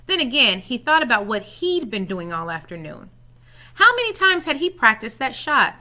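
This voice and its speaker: A woman reading a children's story aloud in an expressive, animated way, dramatizing it as she goes. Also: a little high, moderate pace.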